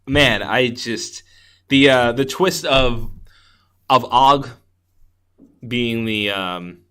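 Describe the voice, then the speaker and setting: A man's voice: mid-range voice, extremely slow, sharp tone, clear, resonant tone. A man hosting a podcast, chatting casually about film in an enthusiastic, rambling way with some swearing. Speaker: podcast host. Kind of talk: casual film review chat.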